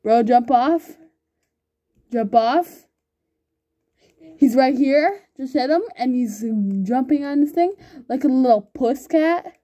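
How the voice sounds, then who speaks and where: A woman chatting excitedly while playing an online game with a friend, laughing often and calling everyone bro. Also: sits high, takes it slowly, pauses often, muffled recording. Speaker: gamer recording gameplay. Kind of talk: excited gaming banter with a friend.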